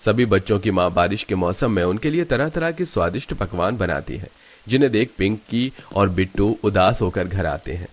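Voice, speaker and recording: A man narrates a cartoon tale in a fairly low voice. The delivery is scripted storytelling, told in the third person as a narrator. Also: animated, moderate pace.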